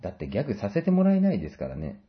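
A man's voice: fairly low pitch, wildly swooping pitch, very fast. A man in his late twenties chatting as a radio guest, joking with mock seriousness, on a lo-fi recording. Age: late 20s. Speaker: guest, stage actor. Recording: dull lo-fi audio.

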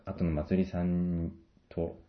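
A man's voice: low-pitched, level tone, slow. A man in his late twenties chatting as a radio guest, joking with mock seriousness, on a lo-fi recording. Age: late 20s. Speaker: guest, stage actor. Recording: dull lo-fi audio.

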